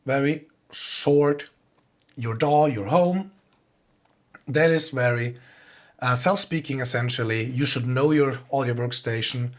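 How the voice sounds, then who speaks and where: A man's voice: mid-range voice, slow. A man with a Swiss accent giving an informal online talk as a composer, explaining things in a plain-spoken way. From Switzerland.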